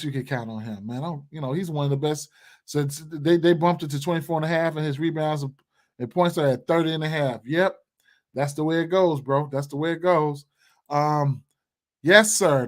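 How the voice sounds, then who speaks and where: Young male streamer, slightly raised pitch, loose slangy chat with many fillers, talking to a desk mic indoors. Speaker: sports betting livestream host. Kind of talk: casual livestream commentary, reading chat. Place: indoor room.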